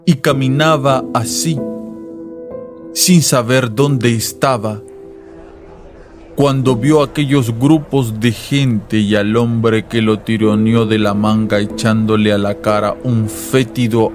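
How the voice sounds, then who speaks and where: A man's voice: fairly low pitch, fairly even intonation, takes it slowly. A man narrating a scripted, dramatized story in a literary radio-drama style. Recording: voice over music and crowd noise. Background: music and crowd sounds.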